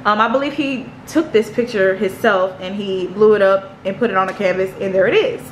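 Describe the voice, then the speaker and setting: A young woman giving a casual house tour vlog, chatting in an animated, slangy, gushing way. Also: medium pitch, a little fast. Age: young adult. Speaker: vlogger. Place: indoors, rooms of a house. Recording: echoey rooms with clear background noise.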